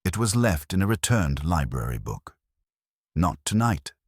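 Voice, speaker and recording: A frail, anxious woman witness in a mystery audiobook, played by a man in a low voice. She is earnest, subdued and hesitant, and the sound is dry. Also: big pitch swings, a little slow, husky, no echo, heavily acted. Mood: shaken, anxious.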